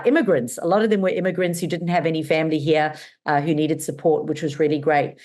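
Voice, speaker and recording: Low-pitched middle-aged woman, mixed south african aussie accent, chatty and animated, lots of likes and ums. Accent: mixed South African and Australian. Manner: animated, chatty storytelling with many fillers. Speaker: guest, business owner. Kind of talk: casual interview chat.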